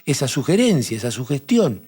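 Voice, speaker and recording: A man with a Rioplatense accent explaining poetry and translation in a measured talk-show conversation, often restarting his phrases. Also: mid-range voice, very animated, warm tone, breathy edge. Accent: Rioplatense Spanish. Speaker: literature professor on a TV talk show.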